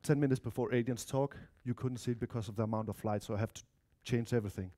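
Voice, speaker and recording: Mellow Danish-accented man giving a talk. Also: medium pitch, steady tone, quick pace. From Denmark or Spain, of mixed Spanish-Danish family. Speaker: conference speaker, software developer. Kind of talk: informal technical talk with slides. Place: lecture room, indoors.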